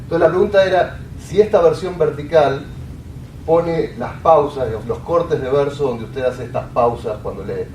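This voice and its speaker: A man in the audience asking a question, halting and searching for words with many fillers. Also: a little high, darkish tone. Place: lecture room. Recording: roomy hall, speaker far from the mic, faint hiss.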